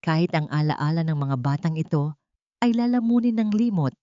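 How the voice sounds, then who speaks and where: A woman's voice: low voice, expressive, moderate pace, warm tone, dry recording, dull audio. A woman voicing a cruel, commanding rich matriarch in an audiobook drama, imperious and menacing.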